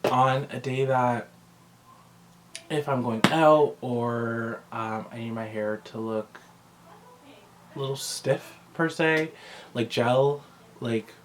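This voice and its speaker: A man's voice: medium pitch, slow pace, pauses often, noisy background. A man talking casually in a vlog, rambling and conversational as he often interrupts himself. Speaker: vlogger. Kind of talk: casual chat to camera about personal routine.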